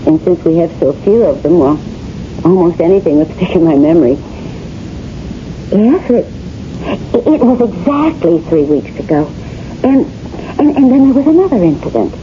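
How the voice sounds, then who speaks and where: An actress playing a helpful, hesitant female museum employee in a radio drama, halting and repeating her words. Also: on the low side, animated, lightly acted. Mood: hesitant, recalling. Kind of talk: 1970s radio drama acting. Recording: dull, noisy 1970s radio recording.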